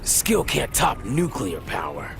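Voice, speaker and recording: A brash young superhero for a video game, full of wisecracks. The delivery swings up and down with a creaky edge, over music. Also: male voice, medium pitch, huge pitch swings, takes it slowly, full character voice. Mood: cocky. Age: young adult. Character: cocky young male superhero who wields nuclear fire. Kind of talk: video game character dialogue. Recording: game audio with music behind.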